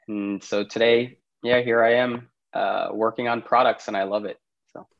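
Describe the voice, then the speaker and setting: A man's voice: on the low side, steady tone, normal speed, gravelly, muffled recording. A man from Pakistan, chatting easily as a podcast guest, laughing often between thoughts. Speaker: podcast guest, startup CEO. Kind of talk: casual interview conversation.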